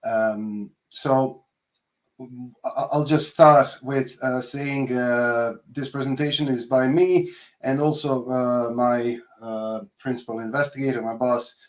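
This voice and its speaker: A man with a Bosnian accent giving an informal research presentation over a video call, speaking in a hesitant, halting way with many filled pauses. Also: mid-range voice, fairly even intonation, rough recording. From Bosnia and Herzegovina. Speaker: postdoctoral researcher giving a talk. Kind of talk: informal online conference presentation.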